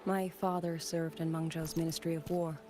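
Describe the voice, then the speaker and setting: Actress as wistful game heroine, grieving ex-musician soldier, lowish soft measured voice, music playing loud behind. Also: female voice, flat delivery, natural voice. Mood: tender, sorrowful. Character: grieving former musician turned soldier, wistful, resolute woman. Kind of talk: dramatic video game voice acting.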